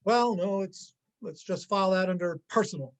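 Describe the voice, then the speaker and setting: A man in an amateur reader's theater play, acting a menacing, sarcastic gangster with a halting, sneering delivery. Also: sits high, close to an everyday voice. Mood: irritated, tense. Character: menacing, sarcastic male gangster robber, jumpy and vengeful.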